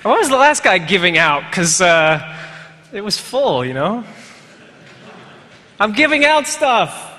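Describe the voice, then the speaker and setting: Bright-voiced young man, wry rambling talk. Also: high voice, very animated, takes it slowly, pauses often. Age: 20s. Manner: rambling, wry, full of fillers and asides. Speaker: conference speaker. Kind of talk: self-deprecating joking talk. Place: large lecture hall. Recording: reverberant lecture hall.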